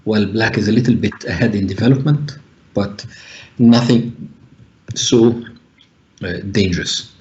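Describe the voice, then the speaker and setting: A man's voice: on the low side, measured pace, long pauses, darkish tone, dull audio. Hesitant man commentating chess. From Egypt. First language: Arabic. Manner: thinking aloud, frequent hesitations. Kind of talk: live online chess commentary.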